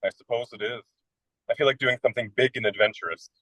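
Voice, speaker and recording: A whimsical young American writer in a radio comedy, acted by a man. The voice is very bright and forward, recorded dry and close. He talks in wry, theatrical flourishes and happily pokes fun at himself. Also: medium pitch, steady tone, quick pace, no echo, rough recording. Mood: admiring, restless. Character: self-deprecating, whimsical American male writer of twenty-six. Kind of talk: radio play comedy dialogue.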